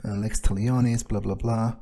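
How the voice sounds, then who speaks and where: A man's voice: fairly low pitch, level tone, normal speed, warm tone. A man improvising in-character commentary for a game playthrough, with a hesitant, musing and sardonic way of talking. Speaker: gamer role-playing his character.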